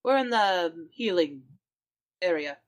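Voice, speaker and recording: A woman's voice: on the low side, animated, slow. A woman improvising casually in character as a tabletop game player. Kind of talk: casual improvised role-play.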